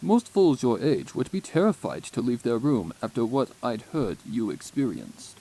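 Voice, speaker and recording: A man voicing a calm adult stallion therapist in a storybook narration, with a pleasant, moderately deep voice and patient, direct, searching questions. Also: moderate pace, vocal fry, husky, full character voice, poor audio. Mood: probing.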